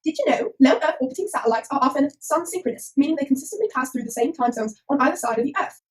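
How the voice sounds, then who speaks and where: A woman's voice: sits high, lively intonation, fast. A woman voicing a cheeky puppet presenter, theatrical and slangy, in a scripted comic science explainer. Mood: chirpy explaining. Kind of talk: scripted comic educational narration.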